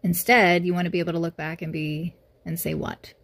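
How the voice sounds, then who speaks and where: A woman hosting a podcast in casual faith chat, calmly steering the conversation and prompting her partner. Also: fairly low pitch, fairly quick, creaky. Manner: steering, calm, gently prompting. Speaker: podcast host.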